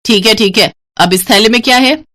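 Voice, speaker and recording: A woman voicing a sharp-tongued, contemptuous older mother-in-law in a Hindi cartoon story, loud and taunting. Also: on the high side, brisk. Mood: sarcastic, demanding.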